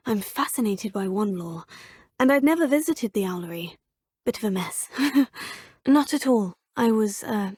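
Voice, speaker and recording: Woman doing young witch game hero, husky a bit high voice, earnest, mutters to herself sometimes. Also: a little mellow, dry recording, studio quality, natural voice. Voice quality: husky, often whispering to herself. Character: earnest young female wizard student hero. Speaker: player character. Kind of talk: video game voice acting.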